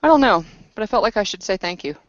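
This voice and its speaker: A woman's voice: medium pitch, animated, fast, no echo, lightly acted. A woman playing a defiant, weary female warrior of werewolf kin in a tabletop game, speaking tersely. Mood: earnest. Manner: terse, blunt. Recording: dull lo-fi voice recording.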